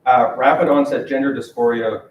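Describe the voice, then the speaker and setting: A man's voice: mid-range voice, fairly even intonation, fairly quick, roomy sound, distant mic, slight background noise, rough recording. Hesitant man moderating a panel. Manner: hesitant, many fillers. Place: lecture hall with audience.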